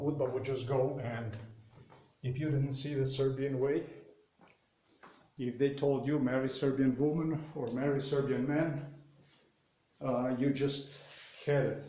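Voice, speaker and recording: A man from Slovenia with a Slovenian accent, speaking English as a second language. He delivers an indignant political monologue, full of pauses and fillers, while doing exercise. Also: mid-range voice, dark tone, reverberant, rough recording. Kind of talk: solo vlog monologue.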